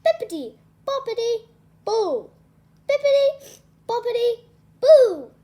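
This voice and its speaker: A woman's voice: very high voice, sing-song, slow, dark tone, voice pushed up, exaggerated voice. A woman voicing a kindly, bustling fairy godmother in a storybook, fond and fussing as she urges. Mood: gleeful, chanting.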